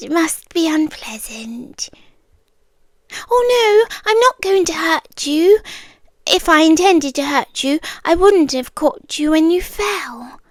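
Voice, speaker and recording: A British woman playing a calm, obsessive stalker girlfriend in an ASMR roleplay, speaking softly and deliberately close to the microphone, often drifting into whispers. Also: high-pitched, no room sound. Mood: eerily calm, then gently reassuring.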